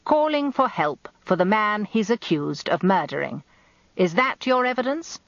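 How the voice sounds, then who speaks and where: A woman's voice: mid-range voice, sing-song, normal speed, no room sound, highs cut off, voice pushed up. A British woman voicing a blustering, combative older male defence barrister with theatrical courtesy in a radio story. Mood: combative, probing. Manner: growling, elaborately courteous, theatrical cross-examiner.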